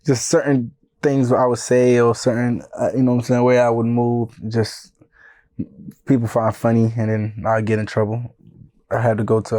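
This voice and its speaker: A man in his thirties, born in Haiti and raised in Florida, telling his story as a music manager in a relaxed, rambling interview style. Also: mid-range voice. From born in Haiti, raised in Broward County, Florida. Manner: laid-back, often says "you know what I'm saying".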